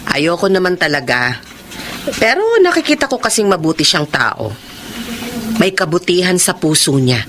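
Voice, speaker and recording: A woman playing a middle-aged mother in a radio drama, kind and patient but firm and quick to scold. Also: lowish voice, big pitch swings, background noise. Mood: reflective. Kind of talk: Tagalog radio drama acting.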